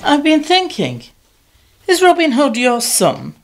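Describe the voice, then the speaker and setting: A woman's voice: sits high, huge pitch swings, takes it slowly, over loud music, full character voice. Cordelia, a woman as a tart scheming sister. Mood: sarcastic. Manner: sarcastic, tart. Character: sharp-tongued scheming sheriff's sister Cordelia. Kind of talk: amateur radio play acting.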